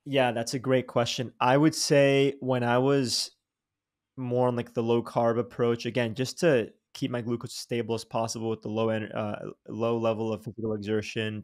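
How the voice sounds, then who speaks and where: A young man hosting a podcast in a loose, animated conversation. He drops casual slang and restarts his sentences often as the thoughts tumble out. His voice sits at an ordinary pitch for a man. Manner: animated, slangy, says dude and bro, many restarts. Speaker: podcast host. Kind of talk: casual interview chat.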